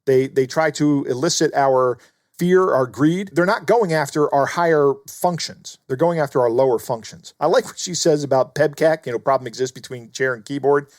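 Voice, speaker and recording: A man's voice: medium pitch, normal speed, no echo, clean studio sound. A man co-hosting a podcast, giving earnest, explanatory commentary in a conversational way. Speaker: podcast co-host.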